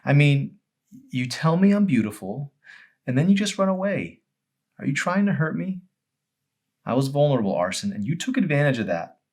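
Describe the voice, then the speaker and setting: A man's voice: fairly high pitch, sing-song, normal speed, pauses often, a little mellow, pitched above the performer's own voice. A man voicing a hurt, insecure teenage girl in a story, pleading and accusing with emphatic delivery.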